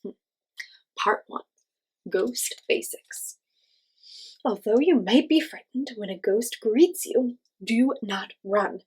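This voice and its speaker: Expressive woman reading aloud to young children. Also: on the high side, takes it slowly, long pauses, slightly rough, poor audio. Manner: addresses children with questions and simple explanations. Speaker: children's read-aloud host and teacher.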